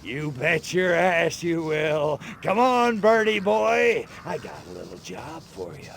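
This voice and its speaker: A menacing alien invader from a video game. The voice is gravelly and drawn out, swooping dramatically between low and high with sardonic relish. Music plays underneath. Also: male voice, sing-song, slow pace, noisy background, exaggerated voice. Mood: mocking menace. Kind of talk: video game cutscene acting.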